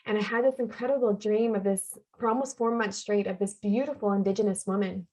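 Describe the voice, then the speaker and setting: A woman speaks as a podcast guest, recounting her life in a heartfelt, earnest way. She leans on repeated phrases to drive her points home, in a voice of middling pitch. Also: fairly even intonation, brisk, mellow, low-quality recording. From Canada. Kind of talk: personal storytelling in a conversation.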